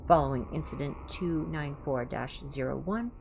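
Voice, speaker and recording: A woman reading aloud in an informal ASMR livestream style, in a measured, careful way. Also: low-pitched, creaky, a little mellow, a little hiss or hum. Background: music.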